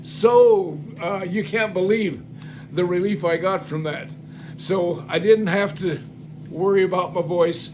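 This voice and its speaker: A man's voice: high-pitched, unhurried, a little mellow, soft background music. An older man casually telling a story from his life in a folksy, rambling way, with many fillers and restarts. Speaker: singer telling life stories. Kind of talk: casual spoken testimony.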